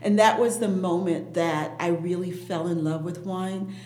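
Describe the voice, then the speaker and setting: A woman who grew up in Haiti with Creole as her first language, telling stories as an interview guest in a lively, chatty way. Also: fairly low pitch, unhurried, echoey room, slight background noise. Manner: lively storyteller, frequent "right?" and "you know". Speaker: wine entrepreneur, guest. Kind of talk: casual interview.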